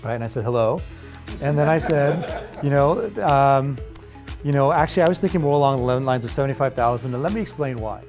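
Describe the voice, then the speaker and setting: Guy telling a story quick, doing the dialogue, music playing under. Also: medium pitch.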